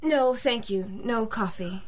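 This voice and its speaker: A woman's voice: a little high, a little slow, lightly acted. An actress playing a demure, anxious young society woman as a client in an old-time radio noir, polite, formal and hesitant.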